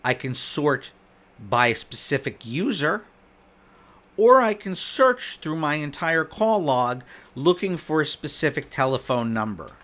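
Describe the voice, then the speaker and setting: A man narrating an instructional software walkthrough in a conversational way, guiding the listener step by step. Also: fairly high pitch, sing-song, unhurried. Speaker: software demo presenter.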